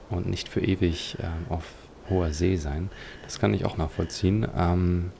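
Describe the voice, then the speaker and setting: A man with a deep, gravelly voice comments on a game as a let's player. He is reflective and a bit formal, with hesitant pauses. Faint music plays behind him. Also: a little mellow.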